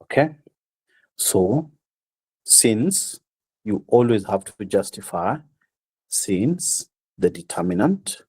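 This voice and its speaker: A slightly hoarse man giving a university lecture, explaining step by step with frequent pauses and fillers. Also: on the low side, very animated, a little mellow, low-quality recording. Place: classroom.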